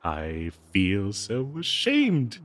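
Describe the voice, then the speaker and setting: A man voicing a bloodthirsty, doting cursed dagger in an audio roleplay, with theatrical, halting, gushing talk full of endearments. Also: fairly low pitch, huge pitch swings, a bit bright, heavily acted. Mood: devoted, sheepish. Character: obsessive cursed talking dagger, bloodthirsty, doting, giddy, fawning.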